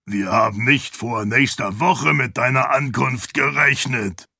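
A man voicing a huge, boisterous, affectionate middle-aged nobleman with a raspy, booming, throaty voice in an audiobook. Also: a little slow, sharp tone, no echo, studio quality, heavily acted. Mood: booming, delighted.

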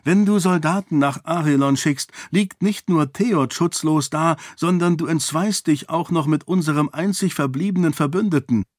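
A man voicing a determined young princess, pitched up. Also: normal speed, few pauses, dry recording, clean studio sound, close to an everyday voice. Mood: resolute. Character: determined young princess, calm, stubborn, affectionate.

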